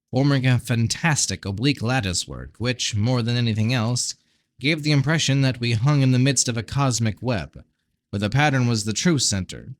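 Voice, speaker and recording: A man's voice: mid-range voice, dry recording. Tired man reading fantasy, dry close sound. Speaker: amateur audiobook reader. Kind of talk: scripted narration of a fantasy novel.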